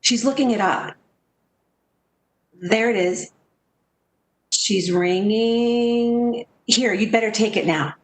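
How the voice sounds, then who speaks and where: A woman's voice: on the high side, slow, long pauses, roomy sound. A woman playing a sensible, protective friend in 1940s-style radio theater, coaxing and theatrical. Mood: businesslike.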